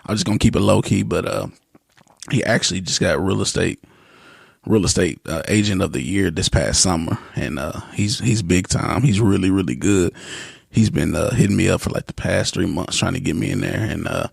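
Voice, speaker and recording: A man's voice: sits low, moderate pace, vocal fry, slightly rough, no echo, studio quality. A young man as a podcast guest, talking in a casual, easygoing way with lots of fillers and restarts. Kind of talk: casual interview talk.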